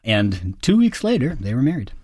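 Middle-aged guy actor being interviewed, earnest and careful, mid pitch, dry close sound. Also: wildly swooping pitch, darkish tone, breathy edge, no room sound. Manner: earnest, measured, articulate with occasional restarts. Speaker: actor and guest. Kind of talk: conversational interview answers.

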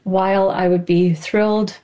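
A woman translator answering interview questions in a measured, reflective way. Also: mid-range voice, little pitch movement, dark tone. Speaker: literary translator.

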